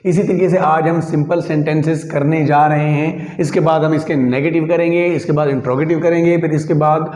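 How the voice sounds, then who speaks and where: A man's voice: on the high side, steady tone, moderate pace, few pauses, a little mellow. A man with a Pakistani accent giving a methodical, repetitive step-by-step grammar lecture to online students. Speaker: English grammar teacher. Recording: echoey room.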